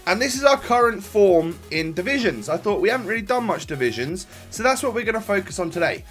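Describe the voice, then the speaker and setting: A young man talks through his football gameplay in a loose, rambling way, full of fillers and restarts. His voice sits a little high and sounds stuffy from a cold. Faint music plays underneath. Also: big pitch swings, fast, a bit bright. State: congested from a cold. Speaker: gaming YouTuber. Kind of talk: casual gameplay commentary. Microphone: desk microphone.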